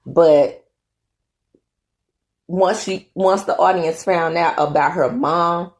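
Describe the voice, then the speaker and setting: A woman's voice: on the low side, steady tone, slow. Chatty woman commentator saying "okay" a lot. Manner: chatty, emphatic, repeats "okay?" often. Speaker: commentary channel host. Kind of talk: casual opinion commentary.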